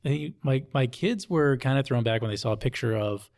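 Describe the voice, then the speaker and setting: A man's voice: mid-range voice, brisk, a little mellow. A middle-aged American man from Hawaii hosting a casual interview in a laid-back, colloquial way with joking asides. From Hawaii, United States. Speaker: interview host.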